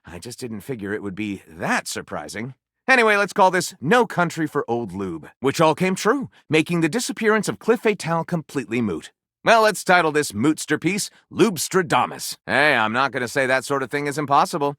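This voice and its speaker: A man voicing an excitable, pompous author of trashy novels in a video game, with a theatrical, sardonic manner. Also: on the high side, brisk, dry recording, studio quality. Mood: dryly sardonic. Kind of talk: video game character voice acting.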